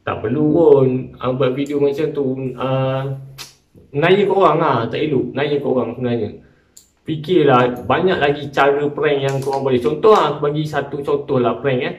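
A man's voice: medium pitch, moderate pace, lo-fi sound. A young man with a Malaysian accent, speaking informally and admonishingly to camera as a vlogger giving casual commentary. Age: young adult. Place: small bare-walled room indoors. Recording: echoey room, distant microphone.

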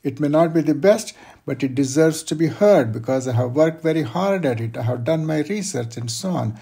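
Male guest expert, mellow husky voice, measured explanatory delivery. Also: a little high, lively intonation. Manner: measured, explanatory, uses examples. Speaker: guest expert on workplace conflict. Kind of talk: advice in an interview.

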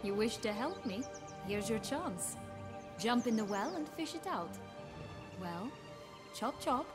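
A woman's voice: fairly high pitch, animated, takes it slowly. An actress voicing a proud, sardonic noblewoman in her thirties in a video game, arch, imperious and articulate. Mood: arch, teasing. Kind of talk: video game cutscene acting. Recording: music under the voice.

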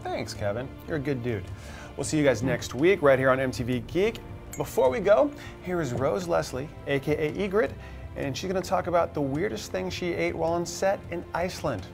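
A man's voice: a little high, lively intonation, a little fast, gravelly. Guy hosting a cooking show, casual jokey chat, bit of music behind. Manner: joking, conversational. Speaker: host of a cooking and TV recap show. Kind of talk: casual banter while cooking. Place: studio set at a table, indoors.

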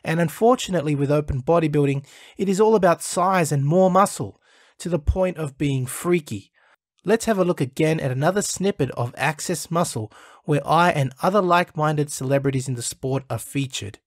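A man's voice: fairly high pitch, normal speed, no room sound, clean studio sound. A man hosting his channel, reading an earnest, praising voice-over review of a documentary. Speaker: channel host reviewing a film.

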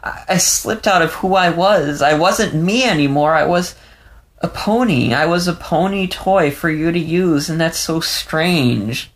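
A man's voice: on the high side, measured pace, pitched above the performer's own voice. A man voicing an emotional young adult male fox who talks in a shaky, whimpering way. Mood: shaky, tearful.

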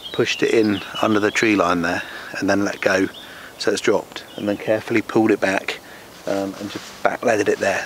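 A man chatting casually and informally to camera as a fishing vlogger. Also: low voice. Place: outdoors on a wooded lake bank. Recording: outdoor nature noise behind voice.

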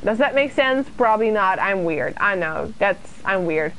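A woman's voice: medium pitch, lively intonation, moderate pace, a bit bright, a little hiss or hum, rough recording. A young woman from the UK, casually chatting with her viewers while streaming a horror game and reading their comments aloud. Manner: chatty and self-deprecating, reads chat aloud and answers it. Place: small room indoors.

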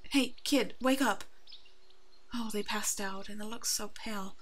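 Woman acting a gentle, motherly werewolf alpha. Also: mid-range voice, measured pace. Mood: alarmed, worried. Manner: gentle, nurturing, soft-spoken, often slipping into whispers. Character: kind, protective female werewolf alpha, motherly rescuer. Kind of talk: ASMR roleplay acting, tender and soothing.

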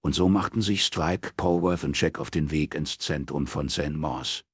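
A man's voice: very deep voice, normal speed, husky, dry recording, clean studio sound. A man reading scripted crime fiction as an audiobook narrator.

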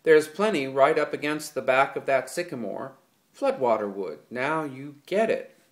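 A man voicing an angry, exasperated ranch hand who rants loudly and bitterly. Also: mid-range voice, lively intonation, normal speed, natural voice. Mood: gruff. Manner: loud, bitter ranting.

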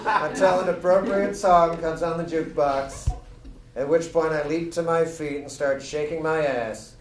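A man's voice: fairly high pitch, a little slow. A man giving a live comedic reading from a book at a lectern microphone. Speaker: guest reader at a book party. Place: indoor room at a live party. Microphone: microphone on a lectern stand. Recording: roomy live event, loud laughing audience, distant mic. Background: loud audience laughter.

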